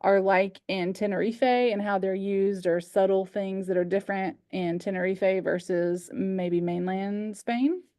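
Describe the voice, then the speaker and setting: A woman's voice: mid-range voice, fairly even intonation, measured pace, few pauses, dark tone, dry recording, clean studio sound. A woman with an American accent hosting casually, with a chatty and enthusiastic way of talking. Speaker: host of a virtual culture club.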